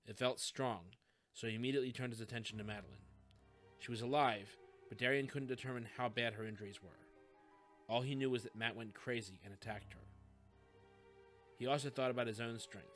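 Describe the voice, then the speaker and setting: A man's voice: medium pitch, fairly even intonation, brisk, fairly bright tone, soft background music. A man reading scripted fiction as an audiobook narrator.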